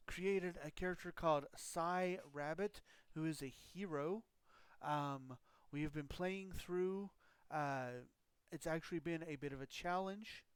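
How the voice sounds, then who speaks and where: A man chats through a live game stream, his voice a little high and smooth yet roughened by a scratchy throat. He rambles through explanations with plenty of ums. The sound is close and dry. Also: no room sound. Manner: rambling, loose explanations with frequent fillers. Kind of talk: casual live-stream chat.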